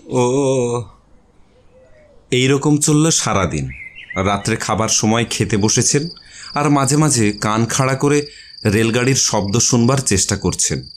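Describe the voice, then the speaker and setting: A man's voice: mid-range voice, a little slow, slight background noise. A man reading a literary story aloud as its narrator, in a scripted narration style.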